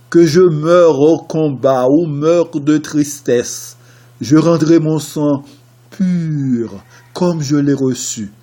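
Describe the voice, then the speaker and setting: A man playing a young nobleman torn between love and honour in a classical French verse tragedy, declaimed in a solemn, measured way. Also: on the high side, warm tone. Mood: resolute.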